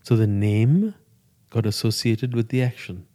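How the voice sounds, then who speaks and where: A man from India with an Indian accent, whose first language is Gujarati, speaking calmly in a casual conversation as a yoga teacher. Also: lowish voice, a little slow. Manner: calm, with short affirming replies.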